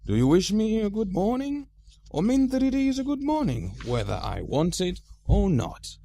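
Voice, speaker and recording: A man voicing an old wandering wizard in a storybook, testy and probing, with a deliberate, questioning manner. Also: high voice, huge pitch swings, creaky, mellow, pitched above the performer's own voice, full character voice.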